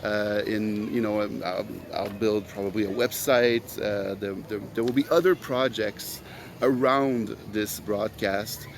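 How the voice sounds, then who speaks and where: A man's voice: on the low side, steady tone, unhurried, a little hiss or hum. A man whose first language is French, hosting his own podcast in an earnest, rambling solo monologue full of fillers. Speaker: podcast host.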